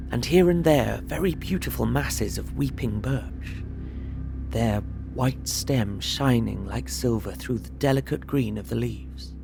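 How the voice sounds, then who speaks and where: Creaky-voiced gentleman diarist. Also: mid-range voice. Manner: measured, descriptive storytelling. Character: observant young English gentleman traveller narrating his journal. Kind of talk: literary audio drama narration.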